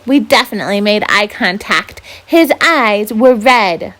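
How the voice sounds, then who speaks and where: An anxious but sardonic seventh-grade girl as a woman reads her aloud from a children's novel. She is protective and quick to defend herself, with a middling, youthful storybook delivery. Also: medium pitch, animated, a bit bright. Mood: defensive.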